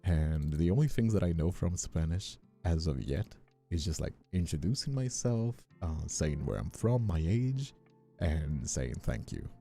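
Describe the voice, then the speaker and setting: A man with a deep, very mellow voice and a gravelly edge chats casually on a livestream. His language is Portuguese, and his rambling, teasing delivery moves up and down freely over faint music. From Brazil. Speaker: streamer and ASMR voice actor.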